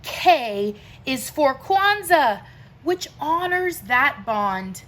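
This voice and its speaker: A woman reading rhyming verse aloud for children in an expressive way, with deliberate, emphatic phrasing and pauses between phrases. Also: high voice. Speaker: teacher reading a picture book aloud.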